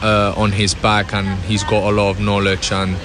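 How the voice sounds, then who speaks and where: A man's voice: lowish voice, completely flat delivery, normal speed. A young man from Spain, a footballer, answering interview questions by a pool outdoors, with many restarts and fillers. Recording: music playing behind. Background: music.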